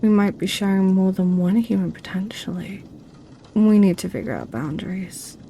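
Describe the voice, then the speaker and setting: A woman playing a playful, seductive succubus in an ASMR roleplay, with a breathy, whispery, coaxing delivery. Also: medium pitch, dark tone, a little hiss or hum, lightly acted. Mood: playful, persuasive. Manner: teasing, coaxing, slow. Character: playful, teasing female succubus, seductive and possessive.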